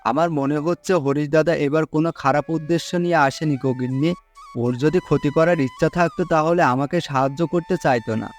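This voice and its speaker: A poor, gullible farmer from a cartoon folk tale, voiced by a man. His voice sits fairly high, and he talks in an earnest, humble, deferential way, with music playing underneath. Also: fast, natural voice. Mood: pondering, hopeful. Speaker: cartoon voice actor.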